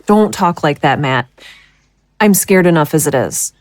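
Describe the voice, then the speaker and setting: A woman playing a nervous, flustered small-town woman in an audio drama, speaking haltingly with stammers. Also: deep voice, expressive, a little hiss or hum. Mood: anxious.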